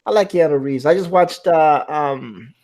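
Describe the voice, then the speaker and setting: Man, Puerto Rican accent, fairly high voice, rambling, casual livestream co-host. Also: measured pace, a crowd in the background. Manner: rambling, frequent restarts. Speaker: co-host reading chat. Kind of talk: casual group chat on a livestream.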